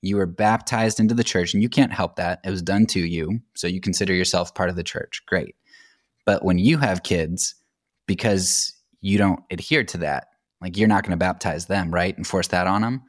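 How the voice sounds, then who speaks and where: A man's voice: deep voice, steady tone, normal speed, dry recording, clean studio sound. An American man speaking as a podcast guest, explaining things in a casual, conversational and anecdotal way. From United States.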